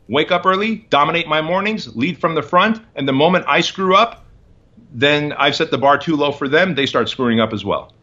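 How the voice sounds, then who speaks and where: A man's voice: mid-range voice, pushed and bright, dull audio. A man in his forties, a guest in an interview, telling his story in emphatic, punchy short phrases. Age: 40s.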